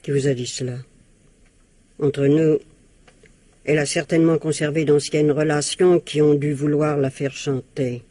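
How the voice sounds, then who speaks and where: A woman's voice: deep voice, fairly even intonation, unhurried, pauses often, mellow, dry recording. An actress playing a spiteful, scheming older mother-in-law in an old-fashioned radio mystery, prim, evasive and defensive. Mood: sly, disdainful.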